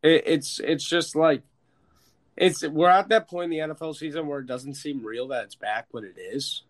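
An American man hosting a casual, sweary sports chat, opinionated and emphatic, with a stuffed-up, slightly hoarse voice. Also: on the high side, normal speed. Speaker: sports podcast host. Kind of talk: casual, profane football chat.

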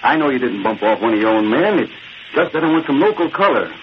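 Man acting an animated, wisecracking newspaper reporter. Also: medium pitch, fast, close to an everyday voice. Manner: breezy sarcastic banter. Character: brash wisecracking male newspaper reporter, breezy, mocking. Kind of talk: 1940s radio acting. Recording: muffled, faintly noisy old radio recording.

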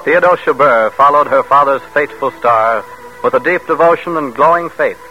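A man's voice: mid-range voice, normal speed, music in the background. An American man hosting a 1940s radio program with formal, dramatic scripted narration. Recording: dull vintage radio recording.

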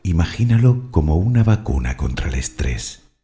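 Very deep, mellow male meditation guide. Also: big pitch swings. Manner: soothing, deliberate instruction in short phrases. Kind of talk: guided meditation with spoken affirmations.

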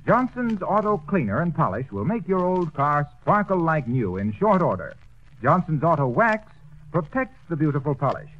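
A man announcing, reading an ad and narration in a brisk salesman's pitch. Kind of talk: scripted ad read and narration. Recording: dull 1930s radio recording.

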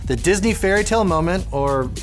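A man's voice: high voice, animated, brisk. A man co-hosting a podcast, narrating celebrity gossip with an arch, playful, campy manner. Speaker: podcast co-host, celebrity writer. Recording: music bed under the voice.

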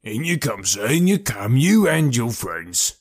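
A man voicing a gruff, stubborn ex-prizefighter porter with blunt, rough working-class speech in an audiobook. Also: medium pitch, big pitch swings, measured pace. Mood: welcoming. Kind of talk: audiobook character voice.

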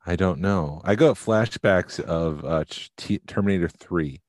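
A man co-hosting a podcast, chatting casually and thinking out loud about films. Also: sits low, unhurried, dry recording, clean studio sound. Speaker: podcast co-host.

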